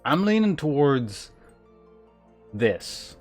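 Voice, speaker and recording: A man's voice: fairly high pitch, very animated, very slow, a little mellow. A man livestreaming a game, chatting informally as he thinks aloud and reads game text. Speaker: game streamer. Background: game music.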